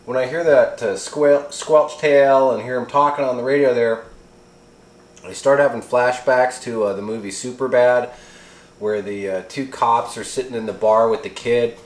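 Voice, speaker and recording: A man's voice: mid-range voice, fairly even intonation, moderate pace. A middle-aged man giving a casual, rambling and enthusiastic unboxing review as a radio hobbyist. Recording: roomy echo, faint background noise.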